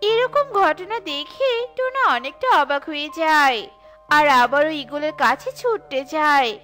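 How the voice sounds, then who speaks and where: Bright, high-pitched woman storyteller. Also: expressive, measured pace, soft background music. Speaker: narrator. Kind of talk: scripted children's story narration.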